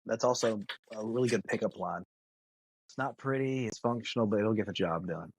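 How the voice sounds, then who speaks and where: Podcast co-host, middle-pitched, conversational, deadpan humour, close and free of room echo. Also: brisk, breathy edge. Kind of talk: casual movie discussion.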